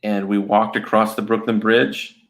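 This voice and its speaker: A man's voice: lowish voice, level tone, moderate pace. A man chatting as a guest in a casual interview, joking and telling stories with frequent laughter. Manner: joking, storytelling, laughs often. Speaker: guest animator.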